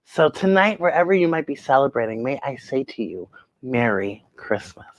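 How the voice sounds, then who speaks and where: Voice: mid-range voice, sing-song, no room sound. Theatrical kids' show host, dry sound. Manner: theatrical, emphatic, drawn-out words. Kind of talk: storytelling host talk addressed to children.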